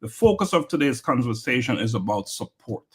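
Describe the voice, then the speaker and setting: A man's voice: mid-range voice, very animated, brisk, breathy edge. A man hosting an interview podcast in a plain, mid-range voice. He talks with upbeat emphasis, lifting his voice to welcome and prompt his guests. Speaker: podcast host.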